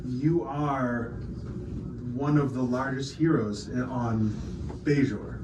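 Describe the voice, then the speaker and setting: A man's voice: mid-range voice, fairly even intonation, takes it slowly, highs cut off, voice pushed down, lightly acted. A man playing a starry-eyed alien admirer of a hero in an improvised tabletop game. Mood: reverent. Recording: echoey noisy room, distant mic.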